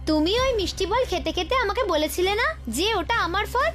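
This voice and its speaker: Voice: very high voice, expressive, moderate pace, sharp tone, heavily acted. A performer voicing a spiteful, self-satisfied bird in a children's cartoon fable, with childlike, defiant bickering. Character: spiteful, self-satisfied second head of a two-headed bird. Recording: music behind the voice.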